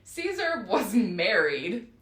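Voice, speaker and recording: A woman's voice: medium pitch, big pitch swings, slow, a bit bright, slight background noise. A young woman hosting a podcast, telling history in a casual, slangy, irreverent way. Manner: irreverent, giggly, sweary. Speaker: podcast host. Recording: roomy, echoey sound.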